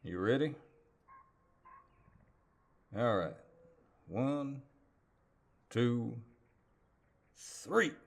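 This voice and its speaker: A man playing a polite, teasing cowboy neighbor in an audio roleplay, with a deep voice and a hesitant Southern US drawl. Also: animated, drawn-out words, creaky, long pauses, exaggerated voice. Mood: exasperated, helpful. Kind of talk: audio roleplay, intimate one-sided dialogue.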